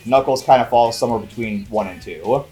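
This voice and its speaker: A man's voice: medium pitch, brisk, warm tone, faint music behind. Wry male co-host bantering about movies. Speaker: movie review show co-host. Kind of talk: casual banter and film review.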